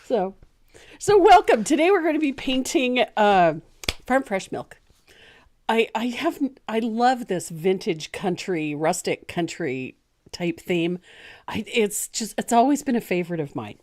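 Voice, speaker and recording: A woman in her sixties who chats through a painting lesson with a lively, swooping voice. She laughs often and pokes fun at herself in a relaxed, easygoing way. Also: mid-range voice, a little slow. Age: 60s. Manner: chatty, laughs often, self-deprecating. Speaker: decorative painting teacher. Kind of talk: casual livestream painting lesson.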